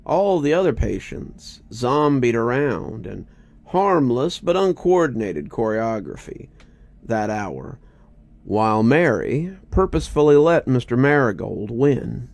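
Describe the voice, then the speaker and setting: A man's voice: medium pitch, big pitch swings, measured pace, gravelly, darkish tone. A man narrating a scripted story in a dramatic reading style. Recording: music bed under narration.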